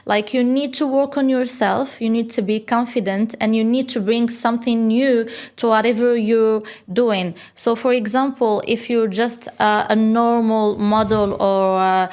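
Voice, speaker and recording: A woman's voice: on the high side, steady tone, unhurried, few pauses, a little mellow. A young woman from Morocco, a model and influencer, answering interview questions casually with many fillers and restarts. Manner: chatty with frequent fillers and restarts, says "if you get me".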